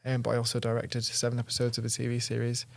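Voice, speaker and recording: A man's voice: mid-range voice, monotone, quick pace, gravelly, no echo. A young man chatting casually and self-deprecatingly as a podcast guest, often adding tag questions. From North Wales and Chester, England. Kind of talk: casual chat.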